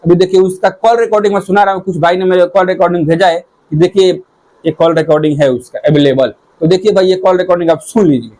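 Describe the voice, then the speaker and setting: A man's voice: sits high, steady tone, fast talker, mellow, low-quality recording. A man gives informal commentary to viewers, talking in a repetitive, hectoring way. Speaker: YouTube commentator.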